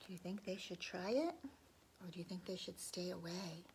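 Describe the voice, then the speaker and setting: A woman telling a story to young children in an expressive read-aloud style, often dropping into hushed asides to her listeners. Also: lowish voice, moderate pace, a little hiss or hum.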